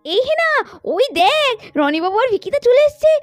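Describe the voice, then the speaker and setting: A woman as a very high, bustling doll housewife. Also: big pitch swings, moderate pace, no room sound, faint music behind. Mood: hesitant. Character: bustling young village doll women of a household. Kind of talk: children's doll-story cartoon voice acting.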